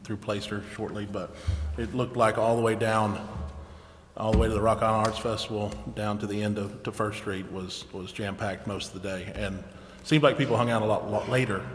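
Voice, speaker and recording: A man's voice: lowish voice, fairly even intonation, moderate pace. A man chairing a council meeting, making informal remarks in an echoey, noisy chamber. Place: indoor city council chamber.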